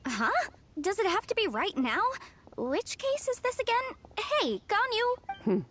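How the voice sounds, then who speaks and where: A woman playing a lively, affectionate young woman in an animated video game. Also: extremely high pitch, takes it slowly, a little hiss or hum, exaggerated voice. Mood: surprised, puzzled.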